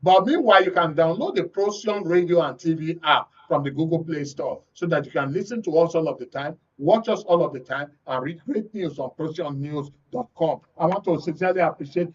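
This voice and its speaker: A man's voice: fairly high pitch, animated, brisk, few pauses, slightly rough, recorded from a distance, muffled recording. A man with a Nigerian accent hosting a live panel discussion on a radio and TV talk show. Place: radio and TV studio.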